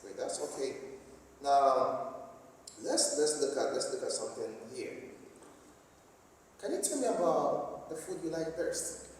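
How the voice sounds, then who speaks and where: A man's voice: fairly high pitch, lively intonation, unhurried, long pauses, recorded from a distance, a little hiss or hum. Patient man teaching in an echoey hall. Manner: patient, repeats and rephrases questions. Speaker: English teacher. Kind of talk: conversation practice with students.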